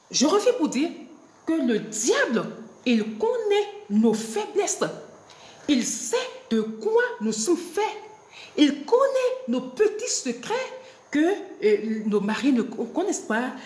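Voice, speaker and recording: A woman's voice: sits high, a little mellow, roomy sound. A woman preaching a devotional sermon with a cold, laughing often and restarting her sentences. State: has a cold.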